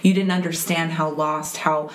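A woman's voice: sits low, little pitch movement, moderate pace. A woman hosting a faith interview, affirming her guest and reflecting on scripture. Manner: affirming, reflective, says "right" often. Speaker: host. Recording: roomy echo.

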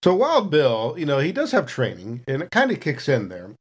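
A man's voice: fairly high pitch, huge pitch swings, moderate pace, breathy edge. A man playing a tabletop role-playing game, narrating his character's actions with wry understatement.

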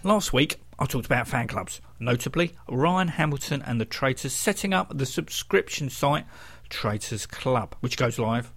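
A man's voice: medium pitch, lively intonation, moderate pace, fairly bright tone. A man with a London English accent, hosting a music radio show and talking between tracks. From London, England.

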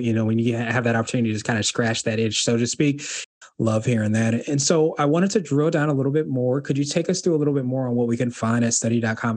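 Fast-talking male podcast host. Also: mid-range voice. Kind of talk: podcast interview hosting.

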